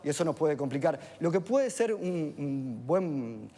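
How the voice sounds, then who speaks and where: Brief: male economist, fairly high voice, measured and explanatory, TV studio with long reverb. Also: sing-song, a crowd in the background. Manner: measured, explanatory, with filler tags. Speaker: economist guest on a TV panel. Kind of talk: analytical panel discussion.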